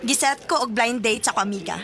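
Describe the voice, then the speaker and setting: A woman's voice: on the high side, bright, forceful tone, full character voice. A woman acting in a radio drama as a playful, self-conscious young woman, with a Cebuano Bisaya Filipino accent. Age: young adult.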